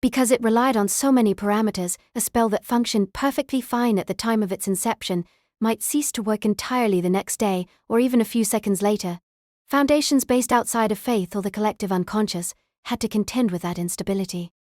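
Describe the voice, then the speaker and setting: A woman reading a novel as audiobook narrator, in a measured, literary storytelling manner. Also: medium pitch, a little mellow, no echo, studio quality.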